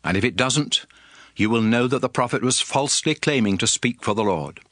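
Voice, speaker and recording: An actor playing a solemn, commanding lawgiver in a dramatized audio Bible, speaking in an emphatic, oratorical way. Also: male voice, mid-range voice, expressive, brisk, a bit bright, no echo, clean studio sound. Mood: solemn instruction. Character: solemn, commanding male lawgiver addressing his people. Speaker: scripture narrator playing a prophet and lawgiver. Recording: music bed under the voice. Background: music.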